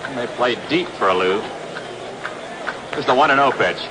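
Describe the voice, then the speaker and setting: A man's voice: medium pitch, animated, moderate pace. An American man calling live baseball play-by-play in short clipped phrases on 1960s radio. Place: outdoor ballpark broadcast. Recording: very noisy 1960s radio broadcast recording.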